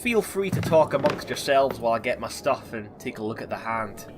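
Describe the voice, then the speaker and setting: A man playing a gruff, foul-mouthed but kindly doctor with a Scottish accent in a comedy fantasy audio drama. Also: medium pitch, lively intonation, brisk, noisy background, lightly acted. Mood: kindly, brisk. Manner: blunt, teasing, scolding.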